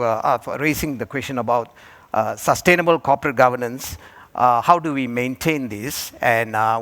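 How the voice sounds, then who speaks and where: A man from Malaysia speaks on a conference panel. His voice sits at a middle pitch. He stops and restarts often and fills gaps with "uh". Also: a bit bright. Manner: halting, with many fillers and restarts. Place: conference hall.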